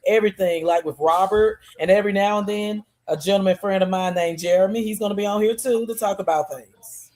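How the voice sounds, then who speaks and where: A woman, relaxed, telling stories on a casual fan chat and repeating words for emphasis. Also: medium pitch, a little fast. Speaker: podcast co-host.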